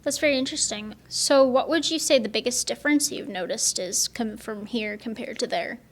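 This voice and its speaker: A woman's voice: a little high, brisk. A young woman, hosting and interviewing for a radio piece. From born in Sri Lanka, grew up in Canada. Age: young adult. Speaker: interviewer and host.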